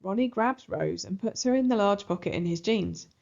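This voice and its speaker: A woman's voice: medium pitch, moderate pace, creaky, darkish tone. Woman reading a children's story, slightly muffled. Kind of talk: expressive storybook read-aloud. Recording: slightly dull, muffled recording.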